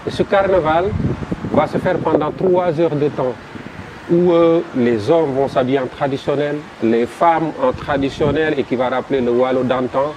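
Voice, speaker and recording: A man with a Senegalese French accent, a festival promoter describing his event in an interview, halting and repeating words as he goes. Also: a little high, fairly even intonation. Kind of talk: interview answers. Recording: noisy background.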